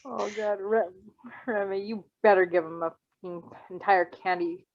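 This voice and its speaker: A woman's voice: medium pitch, fairly even intonation, moderate pace, darkish tone, dry recording. A woman chatting casually as a co-host, reacting to a story in a playful, informal way, on a dull, slightly muffled mic. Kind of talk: casual chat.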